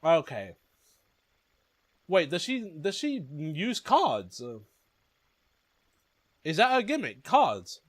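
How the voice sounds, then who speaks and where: A man's voice: fairly high pitch, huge pitch swings, slow pace, pauses often, no echo. A young man giving rambling, casual commentary while playing a video game, chatty and easily amused.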